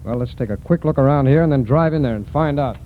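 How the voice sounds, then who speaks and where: A man's voice: medium pitch, fast talker, soft background music, lightly acted. An actor playing a businesslike federal lawman with an American accent and matter-of-fact delivery in a 1940s radio drama. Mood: alert, businesslike. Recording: muffled, noisy 1940s radio recording.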